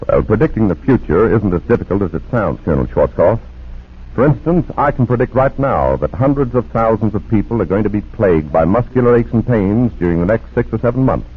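A man working as a radio announcer, delivering a scripted 1940s-style advertising pitch. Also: expressive, a little fast. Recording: tinny, rough 1940s radio recording.

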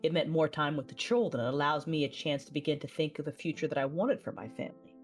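Sci-fi audio drama lead: actress as reflective, war-weary Jedi woman, low register, measured confessional narration over music. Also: natural voice. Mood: reflective, wary. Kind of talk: scripted first-person audio drama narration. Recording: music under the voice.

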